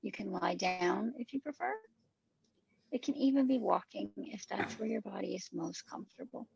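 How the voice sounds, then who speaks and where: A woman guides a meditation in an extremely husky, breathy voice full of vocal fry. Her instructions come very slowly, soothing and measured. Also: medium pitch, darkish tone, lo-fi sound.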